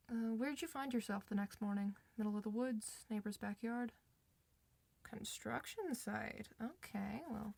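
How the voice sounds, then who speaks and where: A woman's voice: mid-range voice, vocal fry, darkish tone, no echo. A woman playing a friendly, reassuring doctor for werewolves in an informal, one-sided ASMR roleplay. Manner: reassuring, informal, hesitant pauses.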